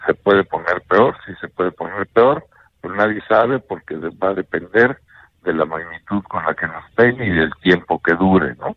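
An older man with a Mexican accent, a bank executive giving measured investment advice on a radio interview, often ending points with a questioning tag. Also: low-pitched, dry recording, narrow, tinny audio. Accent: Mexican Spanish.

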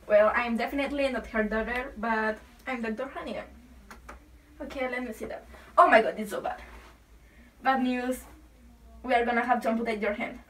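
Teen girl acting very high, theatrical skit character. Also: steady tone, moderate pace, pauses often, natural voice. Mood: mock serious. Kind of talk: amateur scripted TV show acting. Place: home kitchen, bedroom and garden. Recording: distant camera microphone.

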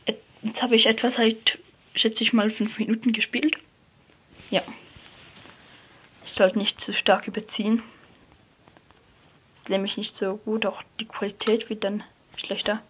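A young person, likely a teen, giving hesitant gameplay commentary, often correcting themselves and dropping to a near whisper. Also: female voice, mid-range voice, flat delivery, unhurried, pauses often. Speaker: let's player. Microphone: phone screen recorder mic. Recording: noisy lo-fi phone recording.

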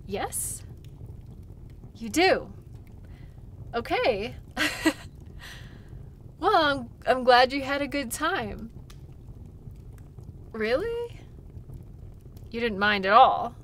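A woman playing a friendly, caring dog sled guide in an intimate audio role play, gentle and teasing. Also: on the high side, a little hiss or hum.

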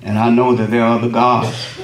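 A middle-aged man, a visiting pastor, opening his sermon and praying from the pulpit with a measured cadence and frequent amens. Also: mid-range voice, monotone, a crowd in the background. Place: wood-paneled church sanctuary. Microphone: lectern microphone, camera at back of room. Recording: echoey room, distant camera, congregation responses.

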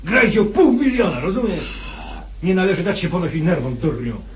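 An actor playing a scheming, condescending kidnapper in a radio play, with a rough, husky voice and sardonic, urbane delivery. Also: male voice, high voice, animated, brisk, slight background noise. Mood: impatient, scheming. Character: scheming, condescending male kidnapper posing as employer. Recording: muffled old radio play recording.

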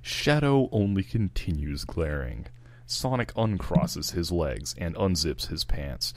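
A man's voice: low-pitched, big pitch swings, slow, darkish tone. A man reading amateur fan fiction narration, with stage directions told in the present tense.

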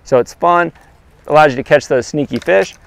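A man in his thirties, a fishing guide, showing his gear outdoors by a lake and talking straight to the camera in a relaxed, practical way. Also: a little high, moderate pace, gravelly, dry recording. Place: outdoors by a lakeshore. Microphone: lapel mic.

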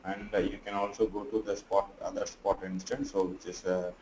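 A tired, sleepy man teaching a live online class, talking through his screen in a halting way full of fillers. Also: low voice, level tone, a little mellow, reverberant, slight background noise, poor audio. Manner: halting, thinks aloud with many fillers. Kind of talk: live screen-share tutorial.

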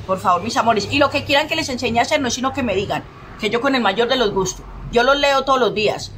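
A woman's voice: on the high side, fast, fairly bright tone, a little hiss or hum. A middle-aged Colombian woman chatting casually through a craft demonstration, affectionate and repetitive, full of endearments and diminutives. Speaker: craft tutorial host.

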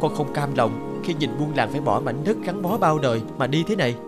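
A man's voice: on the high side, brisk, lightly acted. A man voicing a determined young village man in a cartoon, earnest and respectful. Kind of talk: cartoon voice acting. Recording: voice under very loud music.

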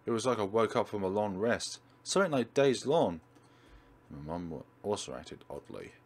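A man's voice: fairly low pitch, animated, normal speed. A man hosting a let's play, dramatically reading a visual novel aloud and commenting on it.

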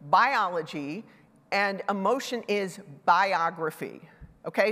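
A woman's voice: mid-range voice, a bit bright. A middle-aged woman giving a conversational conference talk on stage with a headset mic, a little congested, adding self-deprecating asides. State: congested from a sinus infection. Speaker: conference speaker. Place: large dark stage hall. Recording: reverberant conference hall.

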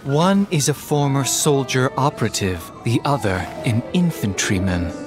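An actor playing a grandiose, poetry-obsessed former soldier in a video game, speaking in a measured, reciting way. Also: male voice, medium pitch, expressive, over music, lightly acted. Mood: solemn. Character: theatrical male former soldier, obsessed with poetic prophecy. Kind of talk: English video game dub acting.

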